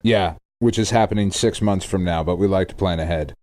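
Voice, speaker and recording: A man's voice: deep voice, a little fast, darkish tone, no room sound. A man co-hosting a podcast in casual chat about video games, thinking aloud in a conversational way. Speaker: podcast co-host.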